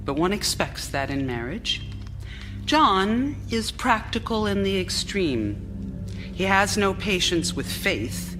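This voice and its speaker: An actress playing a troubled, intelligent Victorian wife in a radio drama, speaking formally and theatrically in an anxious, confiding way. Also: female voice, medium pitch, sing-song. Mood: wry, confiding. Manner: formal, measured, dramatic first-person narration. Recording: live theater recording with music. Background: music.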